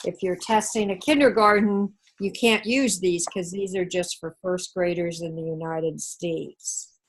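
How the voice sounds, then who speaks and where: A woman's voice: fairly low pitch, steady tone, low-quality recording. A woman teacher with a New Zealand accent, working through the scoring of a test step by step in an online class. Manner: slow, methodical, talks through scoring step by step.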